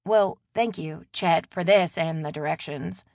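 A woman's voice: fairly low pitch, a little slow, darkish tone, dry recording, clean studio sound. A woman voicing a polite, reserved young city woman in an audiobook. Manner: polite, hesitant.

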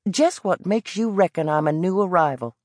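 A woman's voice: lowish voice, animated, normal speed, a little mellow, no room sound, studio quality, pitched above the performer's own voice. Woman doing a defiant Southern boy. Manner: blunt, stubborn drawl.

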